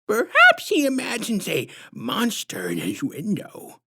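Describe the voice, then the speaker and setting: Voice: medium pitch, huge pitch swings, unhurried, gravelly, sharp tone, a little hiss or hum, voice pushed up, heavily acted. A narrator voicing a huge, sinister female clown who talks in sickly sweet baby-talk rhymes. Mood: sickly sweet menace. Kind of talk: storybook reading.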